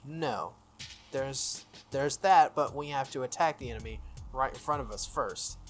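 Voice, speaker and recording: Playthrough commentator, mid-pitched voice, casual read-aloud, slightly halting, background music. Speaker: video game player reading aloud. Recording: music behind the voice. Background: background game music.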